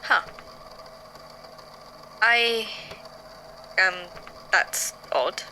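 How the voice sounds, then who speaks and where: A woman's voice: medium pitch, expressive, extremely slow, pushed and bright, a little hiss or hum, exaggerated voice, rough recording. A woman playing an inquisitive, slightly harried male archivist who muses over case notes conversationally in an audio drama. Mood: puzzled. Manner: conversational, musing, hesitant.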